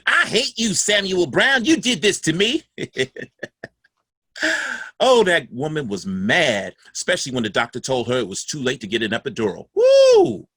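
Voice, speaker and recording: A man's voice: mid-range voice, fairly bright tone, no room sound, studio quality, heavily acted. An actor playing a dead father's ghost, a folksy, doting, guilt-ridden man telling his story in a confiding, emphatic way in a play reading. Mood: amused storytelling. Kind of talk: theatrical monologue in a stage play reading.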